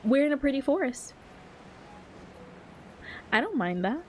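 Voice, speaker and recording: A woman's voice: sits high, sing-song, measured pace, a little mellow, slight background noise. A young woman streaming a game, giving casual, playful, slangy reactions. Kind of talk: casual live reaction commentary. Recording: game music behind the voice.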